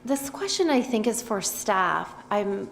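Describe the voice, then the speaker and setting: A woman's voice: mid-range voice, very animated, a little slow, vocal fry. A woman, a committee member asking polite, probing questions in a council meeting. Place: council chamber. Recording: roomy chamber sound.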